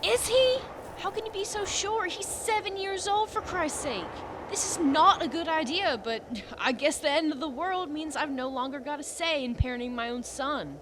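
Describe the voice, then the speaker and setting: A woman's voice: sits high, moderate pace, natural voice. A woman playing a worried, exasperated mother and wife with a bitterly sarcastic streak in a comic dub. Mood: upset, sarcastic. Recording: noticeable background ambience noise.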